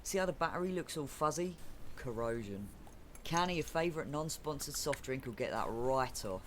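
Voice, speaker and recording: An actor playing a chatty, sinister mechanic's sidekick in an audio drama who sounds falsely reassuring. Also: male voice, mid-range voice, expressive, moderate pace, a little hiss or hum, lightly acted.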